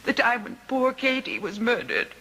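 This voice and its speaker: Distraught, halting servant woman, high-pitched. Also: sing-song, bright, forceful tone, in a large hall, exaggerated voice, poor audio. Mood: pleading. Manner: halting, pleading, melodramatic. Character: distraught servant woman protesting her innocence. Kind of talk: old-time radio drama acting.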